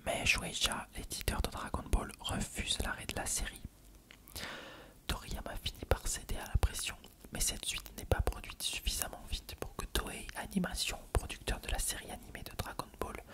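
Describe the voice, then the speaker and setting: An ASMR host whispering an explanatory article reading in a measured, unvoiced voice.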